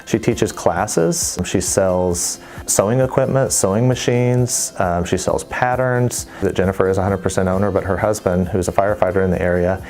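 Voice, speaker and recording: Deep-voiced measured banker man. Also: darkish tone. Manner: measured, explanatory, fillers like "you know". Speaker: bank manager. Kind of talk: interview testimonial. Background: faint background music.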